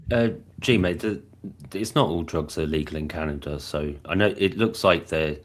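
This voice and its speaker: A man talks through a topic on a live panel. He speaks in a measured, explanatory way, hedging often as he goes. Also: rumbling low. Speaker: panel participant. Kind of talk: casual live panel chat.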